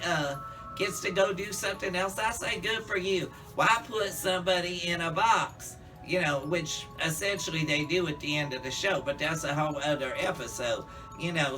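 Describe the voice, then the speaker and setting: A man's voice: sits high, recorded from a distance, rough recording. A man in a casual, campy monologue, chatting to viewers as a drag queen reviewer.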